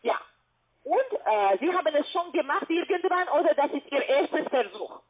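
A woman in giving a telephone sales pitch, often tagging her statements with little questions. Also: big pitch swings, normal speed. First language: not German. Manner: ends statements with questioning tags. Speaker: telemarketing caller. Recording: muffled narrow phone line.

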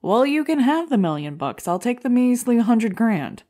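A woman's voice: a little high, sing-song, normal speed, dark tone. A woman playing a jaded, sarcastic middle-aged stand-up comic in an audio drama, dry and blunt. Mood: jaded, sardonic.

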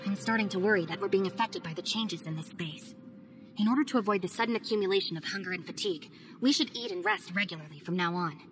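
A woman voicing a young, analytical adviser in a game, who explains things in a measured, methodical way. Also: medium pitch, music in the background, lightly acted. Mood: thoughtful. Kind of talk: game cutscene voice acting.

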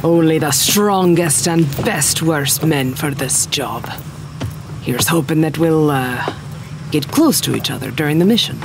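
A man's voice: fairly high pitch, lively intonation, unhurried, gravelly. An actor playing an eager, smitten pirate crewman in a comic audio drama, easily flustered. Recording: noisy ambience behind the voice.

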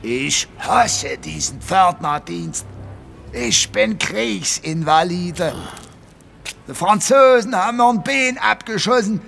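An actor playing a grumpy, weary old war veteran gatekeeper over seventy in an audio drama. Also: male voice, high-pitched, lively intonation, vocal fry, fairly bright tone, soft background music, heavily acted. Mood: grumpy, complaining. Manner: grumbling, slow and weary. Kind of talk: German audio drama acting.

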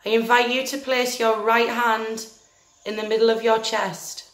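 A woman's voice: fairly high pitch, little pitch movement. Woman guiding a meditation, roomy echo. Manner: soothing, gentle, deliberate with long pauses. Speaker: meditation guide. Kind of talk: guided meditation, scripted narration. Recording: roomy echo with faint music behind.

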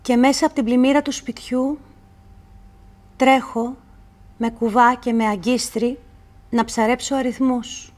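A woman reciting literary poetry in a measured, deliberate way, with pauses between phrases. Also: on the high side, steady tone, darkish tone. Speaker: poetry reader.

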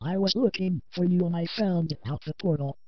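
A woman voicing a caring, calm young female character in an amateur cartoon dub. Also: on the low side, moderate pace, close to an everyday voice. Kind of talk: amateur comic dub voice acting. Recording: dry, dull lo-fi microphone.

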